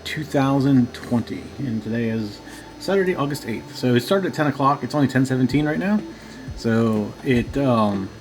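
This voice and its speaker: A man hosting a casual, unscripted radio hobby video, talking in a halting, low-key way as he thinks aloud. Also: animated, noisy background. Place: home radio shack.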